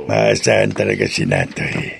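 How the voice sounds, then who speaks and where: A man playing a gleeful, evil mad doctor in a horror radio drama, with a gravelly voice and slow, menacing, cackling delivery. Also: a bass voice, sing-song, exaggerated voice. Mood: gloating, maniacal.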